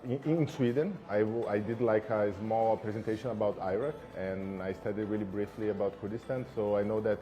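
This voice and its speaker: Low, mellow-voiced man from Brazil. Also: fairly even intonation, a little fast, few pauses, soft background music. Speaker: law graduate, master's student. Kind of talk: interview.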